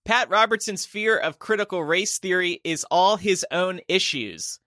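A man's voice: high-pitched, a little slow, sharp tone, dry recording, clean studio sound. A man co-hosting a comedy podcast, presenting news stories and commenting on them.

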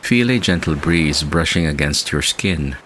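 Very deep-voiced man guiding meditation. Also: animated, a bit bright, a little hiss or hum, rough recording. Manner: measured, soothing delivery with long pauses. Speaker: meditation podcast host. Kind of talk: slow guided meditation narration. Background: music bed.

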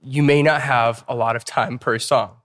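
A man's voice: mid-range voice, steady tone, moderate pace, fairly bright tone. Joking guy hosting a casual interview, chatty, throws in jokes between questions. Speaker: interviewer, host.

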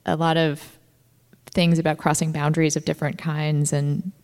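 A woman's voice: sits low, level tone, normal speed, a little mellow, big-room sound. A woman podcast host in casual conversation, thinking aloud with lots of fillers. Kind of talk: casual interview chat.

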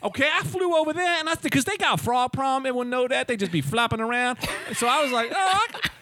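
A man playing a loud, profane frog collector from the Bronx with a New York accent, just out of a foreign prison, in an improvised comedy sketch. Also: fast, a little hiss or hum. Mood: boastful. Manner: loud, profane, rambling, high-pitched.

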